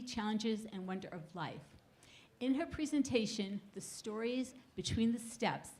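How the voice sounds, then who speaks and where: A woman's voice: medium pitch, darkish tone. A woman hosting an assembly, giving a prepared introduction for a guest speaker. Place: school assembly. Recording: faint background noise.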